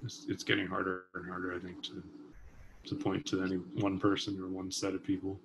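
A man's voice: deep voice, monotone, fairly quick, gravelly, noisy background. A man in his thirties, a musician guest, talking thoughtfully from his kitchen over a video call, hedging with many fillers. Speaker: vibraphonist and composer guest. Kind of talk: casual conversation. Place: home kitchen. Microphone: video call webcam.

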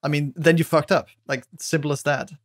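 A man on a podcast panel discussing film casually, blunt and halting, often repeating words. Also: on the high side, steady tone, no echo, clean studio sound.

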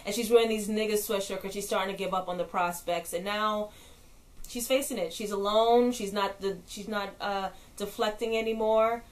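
A woman's voice: mid-range voice, normal speed. A young woman with a stuffed-up nasal voice from a cold, chatting casually and slangily as she reviews a show on her vlog. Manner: chatty, slangy, animated, laughs often. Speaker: vlogger, TV reviewer. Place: indoors, in front of a backdrop.

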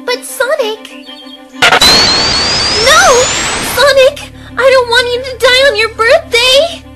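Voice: very high voice, slow pace, loud music behind the voice. An actor playing a worried young female cartoon hedgehog in an amateur radio play, pleading and earnest. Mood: frantic pleading. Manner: anxious, earnest.

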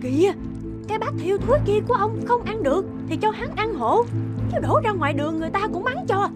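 A woman playing a duck seller's older, scolding wife in a cartoon folktale, sharp-tongued and exclamatory. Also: very high voice, very animated, fast. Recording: music behind the voice.

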